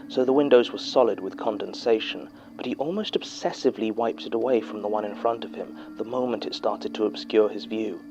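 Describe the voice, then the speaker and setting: An actor with a British accent playing an archivist who reads a witness's unsettling statement aloud in a measured, confiding horror-drama narration. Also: male voice, fairly low pitch, creaky, darkish tone, muffled recording. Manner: measured, confiding, with scripted hesitations.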